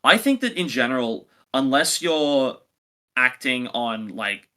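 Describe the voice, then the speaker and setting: A man's voice: medium pitch, expressive, a little slow, sharp tone. A man co-hosting a review podcast, talking casually and conversationally as he gives his opinions.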